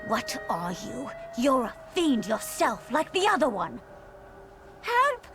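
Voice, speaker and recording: A woman's voice: sits high, huge pitch swings, slow, a bit bright, heavily acted. An actress playing a bereaved, vengeful old mother in a video game, wailing and cursing. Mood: outraged. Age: older. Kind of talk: dramatic video game acting. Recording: music behind the voice.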